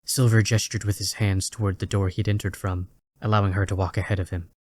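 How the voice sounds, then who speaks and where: A man reading scripted story narration in a deliberate, dramatic way. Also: lowish voice, level tone.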